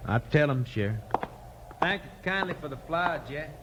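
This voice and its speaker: An actor playing an unruffled, polite young mountain sharpshooter with a quiet American backwoods drawl on 1950s radio. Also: male voice, on the high side, lively intonation, normal speed, lightly acted. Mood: cool, polite. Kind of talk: 1950s western radio acting. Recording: dull, faintly noisy old radio recording.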